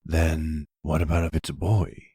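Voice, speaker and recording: Tender mafia boss boyfriend, male performer, middle-aged, gentle and reassuring, rock-bottom pitch, raspy, for ASMR roleplay. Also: little pitch movement, normal speed, no echo, studio quality.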